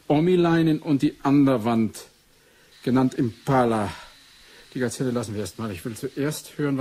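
Senior case official, husky, medium pitch, businesslike, musing, radio drama. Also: male voice, a little mellow. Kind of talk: 1980s German radio drama acting.

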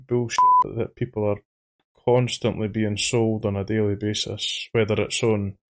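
Scottish guy from edinburgh talking into a mic, low gravelly voice, kinda monotone, trips over his words a lot. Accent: Edinburgh Scottish, toned down. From Scotland. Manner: halting, repeats words, says he sounds monotone. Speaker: trading channel host. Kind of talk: faceless voice-over monologue. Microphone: studio microphone.

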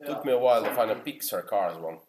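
A man's voice: fairly low pitch, animated, quick pace, crowd noise. Young Finnish man streaming, echoey room. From Finland. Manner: reads out chat messages and answers them casually. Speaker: casino streamer. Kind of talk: casual live-stream chat. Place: small room indoors.

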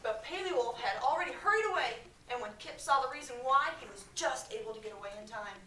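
A middle-aged American woman, a schoolteacher, reading a storybook expressively to her class and pausing to ask questions. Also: on the high side, animated, pushed and bright. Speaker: elementary school teacher. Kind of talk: storybook read-aloud to a class. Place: medium-sized school classroom, indoors. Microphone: far camera. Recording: echoey classroom, distant mic, faint background noise.

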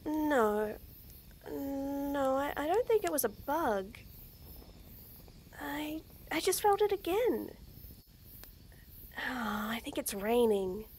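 A young woman voicing a shy, nervous tomboy friend in a romantic roleplay audio, stammering, giggling and speaking softly. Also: high-pitched, expressive, slow pace, pauses often, a little hiss or hum. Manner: stammering, giggly, soft-spoken. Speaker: voice actor.

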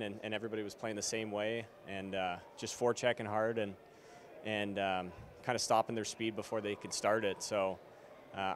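A man's voice: lowish voice, a little hiss or hum. A man in his twenties, a hockey player, answering postgame questions casually with many fillers, into a handheld mic in an arena corridor. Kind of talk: postgame press interview. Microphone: handheld interviewer mic.

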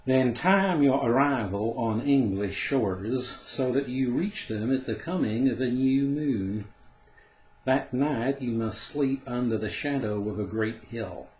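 A man reading aloud, voicing a haughty female great horned owl with a shrill, harsh voice. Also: takes it slowly, darkish tone, reverberant, lightly acted, rough recording. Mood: knowing.

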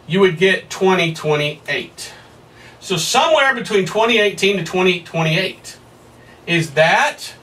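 Emphatic high-voiced preacher, a bit hoarse. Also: male voice, recorded from a distance, low-quality recording. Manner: emphatic, rising to excited peaks, laughs at his own points. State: somewhat hoarse, losing his voice. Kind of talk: whiteboard Bible study sermon. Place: small room in front of a whiteboard. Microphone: camera at a distance.

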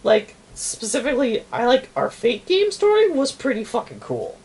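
A woman's voice: a little high, huge pitch swings, moderate pace, a little mellow. A woman chatting casually in a blunt, opinionated way, swearing freely. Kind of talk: casual chat.

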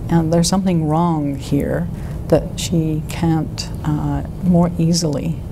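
A woman in her sixties from the United Kingdom, recalling her past in an interview and pausing often to search for words. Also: low-pitched, fairly even intonation, background noise. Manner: thoughtful, hesitant with many fillers. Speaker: interviewee, physician. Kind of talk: oral history interview.

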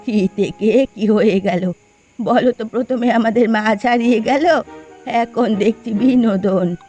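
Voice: mid-range voice, measured pace, dark tone, breathy edge. A performer voicing gossipy, hospitable village women in a Bengali cartoon, with music behind. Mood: mocking, amused. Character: village women, gossiping mother-in-law and daughter-in-law, hospitable but scornful. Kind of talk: dubbed Bengali cartoon dialogue.

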